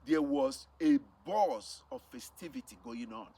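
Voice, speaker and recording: A man's voice: medium pitch, lively intonation, a little slow, dark tone, breathy edge. A middle-aged man with a Nigerian accent tells a personal testimony as a missionary, speaking emphatically and repeating phrases for effect. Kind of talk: personal testimony, storytelling to camera. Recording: distant mic with faint background noise.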